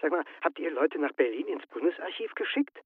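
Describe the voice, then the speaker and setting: An actor playing a dry, testy caller through a telephone filter in a radio drama. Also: male voice, a little high, animated, a little fast, husky. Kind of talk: German radio drama acting. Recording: tinny narrow phone line.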